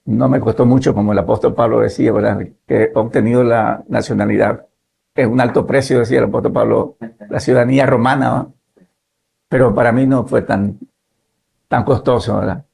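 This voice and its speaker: Pastor guest in his fifties, from Nicaragua; gravelly, measured storyteller. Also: mid-range voice, steady tone, darkish tone. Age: 50s. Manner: measured, adds "¿verdad?" tags. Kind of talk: relaxed testimony in conversation.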